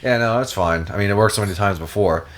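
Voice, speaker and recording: A man's voice: fairly low pitch, fast, fairly bright tone, a little hiss or hum. A man co-hosting a podcast in casual chat, with a wry, sardonic manner. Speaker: podcast co-host.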